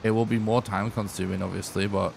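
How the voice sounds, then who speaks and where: A young man streaming live gameplay who is losing his voice, thinking aloud and clearing his throat often. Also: on the low side, little pitch movement, fairly quick, slight background noise. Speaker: game streamer.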